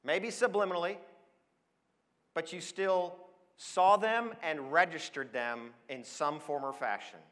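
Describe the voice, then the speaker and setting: An American man in his forties speaks from a stage as a conference presenter. His voice is fairly high and very bright, with an emphatic, enthusiastic delivery that addresses listeners directly. Long hall reverb trails his words. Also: measured pace. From Switzerland, then Oregon. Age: 40s. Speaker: conference speaker, advertising professional. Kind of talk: motivational business presentation to an audience. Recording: long echo of a large hall.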